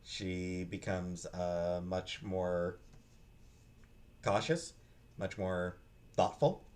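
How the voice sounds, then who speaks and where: A man giving a casual, unscripted book review in a halting, hesitant way, often trailing off and restarting. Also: low-pitched, level tone, darkish tone. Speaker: book reviewer, vlog host.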